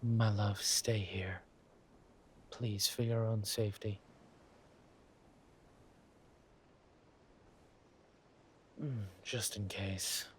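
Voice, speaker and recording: A man voicing a suave, menacing villain king who is doting with his lover and talks in a smooth, teasing, courteous drawl for a roleplay audio drama. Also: lowish voice, fairly even intonation, slow, slight background noise. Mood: tender, protective.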